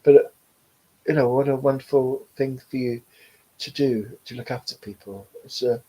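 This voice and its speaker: A man in the middle of a live spirit reading, talking gently and reassuringly. His speech wanders, full of fillers, restarts and soft laughs, at an ordinary male pitch. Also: moderate pace, rough recording. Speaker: medium. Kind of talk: live online mediumship reading.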